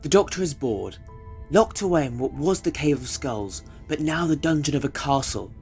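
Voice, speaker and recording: A man's voice: on the high side, animated, normal speed, darkish tone, soft background music. A man reading scripted narration for an audio drama.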